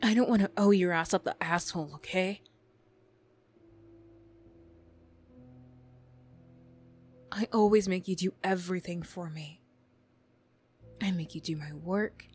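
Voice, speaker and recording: A woman playing a defensive teenage school bully girl who reluctantly opens up, prickly and halting, in an emotional ASMR roleplay. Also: lowish voice, steady tone, a little mellow, breathy edge. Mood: indignant, incredulous. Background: music.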